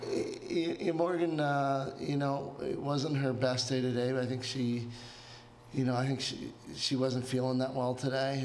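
A middle-aged man, a head coach, answering reporters at a post-game press conference into a table microphone, measured and hesitant with many fillers. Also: medium pitch, a little mellow, a little hiss or hum, rough recording. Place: press room before a sponsor backdrop.

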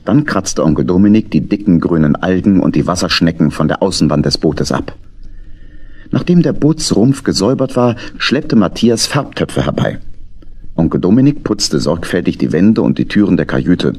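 Male narrator for children's audio play, deep voice, animated melodic delivery. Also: moderate pace. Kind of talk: scripted children's story narration.

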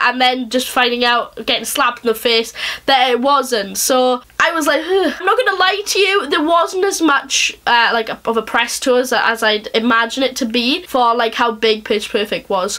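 A young woman with a thick Welsh accent, ranting casually to camera in her bedroom, fast and chatty with lots of fillers. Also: on the high side, sharp tone. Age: young adult. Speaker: vlogger. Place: small bedroom, indoors.

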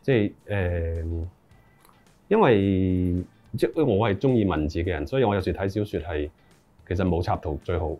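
Middle-aged man, Hong Kong accent, lowish voice, informal guest chatting with English words mixed in. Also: animated, moderate pace, dark tone, faint music behind. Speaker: lyricist, interview guest. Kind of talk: casual interview chat. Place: small room indoors, seated group.

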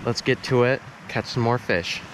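A man's voice: mid-range voice, normal speed. A young man talking casually to his action camera while fly fishing outdoors by a winter creek. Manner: excited, breathless while landing a fish. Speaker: fishing vlogger. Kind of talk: casual outdoor vlog commentary. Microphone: action camera, chest and arm's length. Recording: faint stream noise outdoors. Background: flowing stream water.

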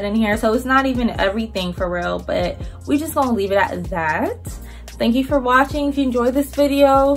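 A woman's voice: fairly high pitch, a bit bright. A young woman, chatting to camera as a vlogger in a casual, slangy, blunt way with swearing.

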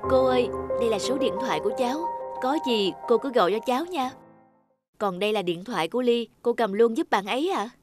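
A woman's voice: on the high side, expressive, brisk, loud music behind the voice, natural voice. A woman playing a helpful young office worker in a cartoon, speaking politely and attentively with care. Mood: caring. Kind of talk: cartoon dialogue acting.

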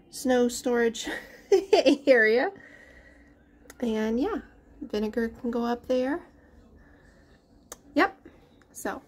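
A young mother casually walking through her family's grocery haul and pantry at home, chatty and sometimes losing her words, recorded on a handheld camera. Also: fairly high pitch. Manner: chatty, loses words, trails off. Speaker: mother of a large family, vlogger. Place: indoors at home, dining room and pantry. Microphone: handheld camera held at chest height.

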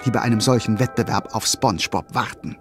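A man's voice: fairly low pitch, fairly even intonation, a little fast, soft background music. A man narrating a children's audio drama as a scripted storyteller.

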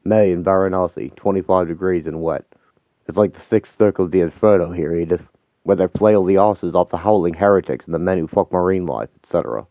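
A sardonic, crude young rebel, read by a man in his own low voice. The tone is irreverent and restless. The sound is dry and tinny, like a phone line. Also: no room sound.

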